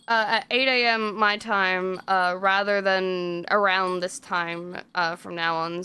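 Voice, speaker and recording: A woman's voice: mid-range voice, pushed and bright, smooth. A young woman streamer chatting casually with viewers, swearing freely and laughing often. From Australia.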